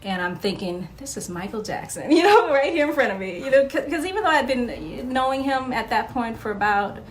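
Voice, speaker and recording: A woman, a podcast guest and author, telling stories in a casual interview, animated and laughing often, with many fillers. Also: fairly high pitch, fairly quick, slight background noise.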